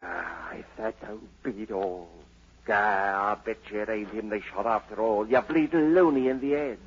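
An actor playing a rough, scornful Cockney trader with a jeering, slangy manner in a 1940s radio drama. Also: male voice, lowish voice, normal speed. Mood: scornful disbelief. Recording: muffled, hissy old radio recording.

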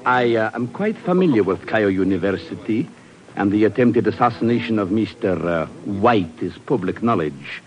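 A man acting as a Soviet correspondent in old radio drama. He speaks low, with formal politeness and a knowing, insinuating manner, on a dull vintage recording. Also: lively intonation, moderate pace, natural voice. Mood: polite, insinuating.

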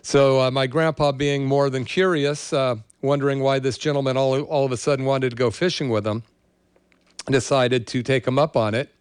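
A man's voice: medium pitch, level tone, normal speed, smooth, no room sound, studio quality. An older man with an American accent, hosting a show in a casual, rambling monologue with personal storytelling. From California, USA. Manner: rambling, conversational. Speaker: show host.

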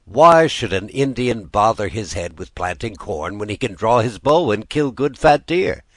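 A man's voice: on the low side, sing-song, measured pace, no room sound, full character voice. Man reading as a scornful, mischievous young trickster. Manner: scornful, boastful. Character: merry, mischievous, dismissive young male gambler and trickster.

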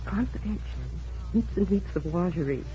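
A woman's voice: lowish voice, animated, moderate pace, warm tone. A woman speaking as a guest actress in a scripted 1940s radio interview. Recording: muffled 1940s radio broadcast recording.

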